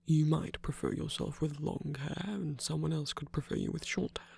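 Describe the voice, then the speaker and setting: A performer playing a tender villain comforting a lover in an intimate ASMR roleplay, often whispering in a gentle, coaxing way close to the microphone. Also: mid-range voice, normal speed. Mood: tender, earnest. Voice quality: breathy, husky, often whispering.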